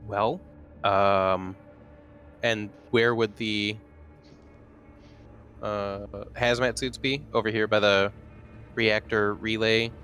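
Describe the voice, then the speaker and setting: A man's voice: on the low side, little pitch movement, takes it slowly, pauses often, fairly bright tone, soft background music. A man playing a tabletop roleplaying game, thinking aloud in a casual, hesitant way. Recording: faint background noise.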